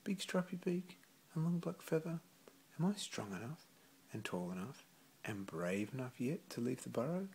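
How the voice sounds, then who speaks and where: A man's voice: mid-range voice, big pitch swings, normal speed, a little mellow, a little hiss or hum, pitched above the performer's own voice. A man voicing a small, eager young male puffin chick in a storybook, asking earnestly. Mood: eager, hopeful. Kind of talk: storybook character voice.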